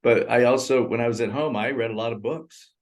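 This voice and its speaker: A man in his sixties co-hosting a casual movie review over a remote call, talking in a rambling, animated way and laughing often. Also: mid-range voice, a little fast. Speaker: co-host. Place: in Thailand.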